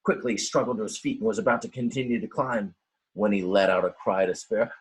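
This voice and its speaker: A man reading a children's storybook aloud in a dramatic, expressive way, with simple explanatory asides for young listeners. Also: on the low side, quick pace, breathy edge. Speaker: teacher reading a picture book aloud.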